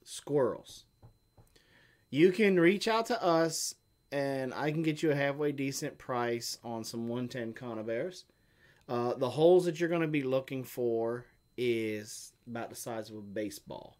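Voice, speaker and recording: A man's voice: medium pitch, measured pace, darkish tone. Rambling homesteader man explaining. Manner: rambling, explains at length with many fillers. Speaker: co-host of a homestead live stream. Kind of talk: casual chat and Q&A.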